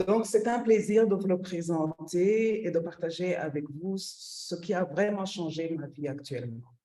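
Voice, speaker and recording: A woman's voice: on the low side, moderate pace, dark tone. A middle-aged woman from Rwanda presenting her project for women, often hesitating and repeating small words while she searches for the next one.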